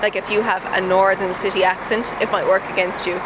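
Cork male passer-by, brisk considered reply, traffic behind. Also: high-pitched, flat delivery.

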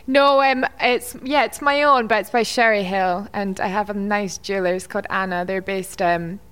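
Young woman singer, smooth voice. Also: mid-range voice, fairly even intonation, moderate pace, fairly bright tone. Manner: agreeable, short replies, frequent yeahs. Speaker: musical theatre singer. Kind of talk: casual interview chat. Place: backstage room.

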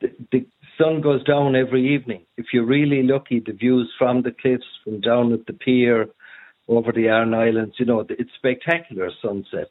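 A man's voice: medium pitch, fairly even intonation, moderate pace. A man with an Irish accent calling in to a radio show and telling a story in an amused way. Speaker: caller, B&B owner. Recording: tinny phone line.

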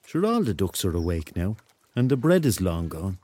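An actor playing the laid-back ghost of a rock star, laconic and dryly teasing, in a radio drama. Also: male voice, low-pitched, sing-song, normal speed, a little mellow.

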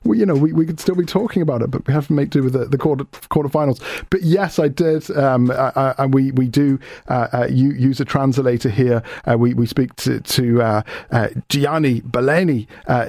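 A man's voice: mid-range voice, darkish tone, dry recording. An Irish man working as a radio reporter, interviewing a guest and then reporting back in the studio in a chatty, upbeat way. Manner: chatty, upbeat, quick asides.

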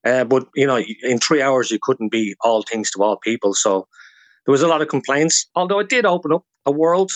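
A man's voice: medium pitch, animated, fairly quick, dry recording. A man with an Irish accent talking as a guest historian in a radio interview, explaining things informally through anecdotes.

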